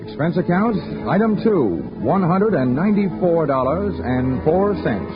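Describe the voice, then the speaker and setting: Wisecracking investigator who flirts his way through a 1940s radio play; animated, muffled broadcast sound. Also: on the high side, unhurried, over loud music. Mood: wry. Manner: wry, teasing, smooth-talking. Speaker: insurance investigator. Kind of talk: 1940s radio drama acting and narration.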